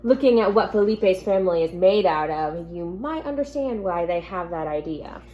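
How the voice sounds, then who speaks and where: A woman teacher speaking gently and simply to young children, reading a picture book aloud at home with casual asides. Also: lowish voice, expressive, normal speed, lo-fi sound. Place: at home in an apartment. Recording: noticeable background noise, home recording.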